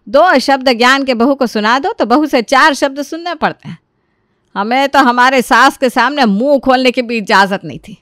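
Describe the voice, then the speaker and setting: A woman's voice: high-pitched, moderate pace, a bit bright, no room sound, studio quality. A woman voicing a bossy, sarcastic older mother-in-law who scolds and nags in a dramatised story. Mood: grumbling, scolding.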